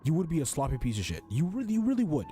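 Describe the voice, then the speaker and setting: A man's voice: fairly high pitch, sing-song, very fast, mellow, soft background music. A man streaming a game with casual, opinionated commentary, blunt and emphatic, repeating his points and swearing freely.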